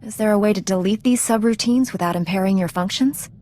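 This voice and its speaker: A woman playing an earnest, principled Jedi knight in a video game, a calm leader who speaks in a measured, sincere way. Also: medium pitch, close to an everyday voice. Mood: concerned. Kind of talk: video game character acting.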